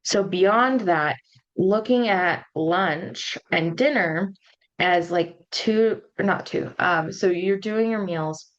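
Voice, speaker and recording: Woman in her thirties, casual solo podcast host, a bit hoarse, chatty with ums, slightly dull lo-fi sound. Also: fairly low pitch, slow. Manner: chatty, conversational, frequent ums and likes. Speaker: podcast host and postpartum nutrition coach.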